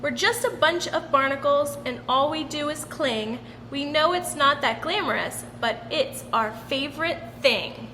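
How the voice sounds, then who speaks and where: A woman's voice: high-pitched, unhurried, few pauses, sharp tone. A woman voicing modest, cheerful little barnacles in contented sing-song storybook style. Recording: roomy long reverb with background noise.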